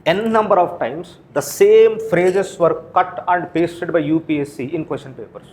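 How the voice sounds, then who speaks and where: A man's voice: fairly high pitch, normal speed. A man with an Indian accent lecturing to exam students as a coaching teacher, posing questions and answering them himself. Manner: frequent tag questions like "right?" and "okay?".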